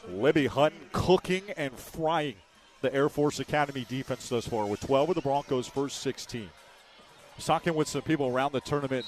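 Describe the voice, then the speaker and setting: A man doing live radio play-by-play of a basketball game in clipped, descriptive phrases. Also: a little high.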